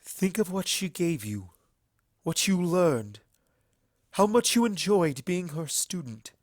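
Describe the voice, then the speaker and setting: A man voicing a kind, earnest female alicorn pony princess in a storybook reading, speaking in a solemn, measured way. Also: high-pitched, sing-song, no echo, clean studio sound, voice pushed up, exaggerated voice. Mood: warm, earnest. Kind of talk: fan fiction storybook reading.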